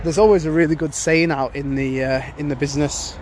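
A man's voice: a little high, steady tone, a little fast, noisy background. A man hosting a casual solo podcast, sounding congested from a cold and rambling with many fillers and restarts. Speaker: podcast host.

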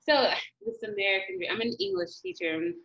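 Woman interviewer, mid-pitched voice, encouraging, casual slang. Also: fairly quick, a bit bright, muffled recording. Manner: upbeat, encouraging, uses like and slang. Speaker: interviewer, podcast host.

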